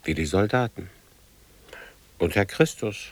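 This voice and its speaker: An actor playing a weary, brooding older man in a radio drama, speaking gravely in halting fragments. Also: low voice, lively intonation, no room sound.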